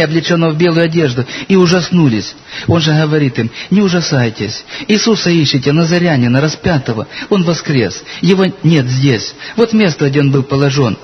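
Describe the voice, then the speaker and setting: A man preaching a sermon in an earnest way, telling stories from his church and reading scripture aloud. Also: high-pitched. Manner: earnest, addresses listeners as brothers and sisters. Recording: dull lo-fi recording with faint hiss.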